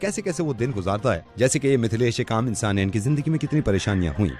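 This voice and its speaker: A man's voice: mid-range voice, very animated, fast talker. A man presenting a comedy show in the style of a mock news narration. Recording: loud music bed behind. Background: music.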